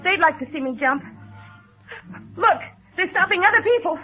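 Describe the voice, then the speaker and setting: High-voiced, desperate young woman. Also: lively intonation, moderate pace. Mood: bitter, defiant. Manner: tense, defiant, emotional. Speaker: radio actor. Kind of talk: 1950s radio drama acting. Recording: muffled old radio recording.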